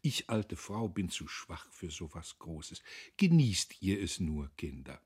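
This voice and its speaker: A man voicing a frail, tearful old mother who speaks weakly and softly. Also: sits low, sing-song, slow, breathy edge, full character voice. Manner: weak, soft, sighing. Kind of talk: audiobook character voice.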